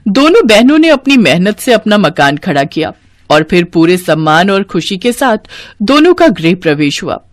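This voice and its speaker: A female storyteller reads scripted tales expressively. Her mid-range voice moves with very lively intonation. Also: medium pitch, normal speed, no echo.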